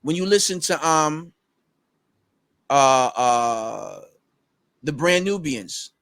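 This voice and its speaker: A man's voice: a little high, expressive, drawn-out words, vocal fry, fairly bright tone. A man in casual conversation, talking with many fillers and restarts and breaking into recited rap lines. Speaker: rapper guest.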